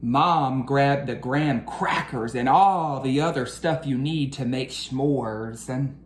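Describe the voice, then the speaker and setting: Slow, low-voiced woman reading a children's story. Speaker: educator reading aloud to children. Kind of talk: storybook read-aloud for children. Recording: roomy echo with faint background noise.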